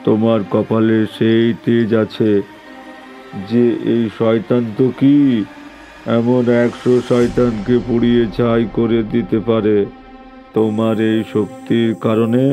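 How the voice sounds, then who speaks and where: A calm holy man from a horror cartoon, voiced by a male storyteller. He speaks low and measured, with solemn, reassuring formality. Faint music runs behind him. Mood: wise, instructing.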